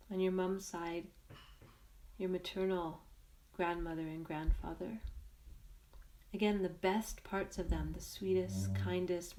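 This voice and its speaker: A woman guides a meditation in a fairly low voice. She speaks very slowly and gently, setting out short phrases with long pauses between them. The delivery is soothing and unhurried. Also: low-quality recording.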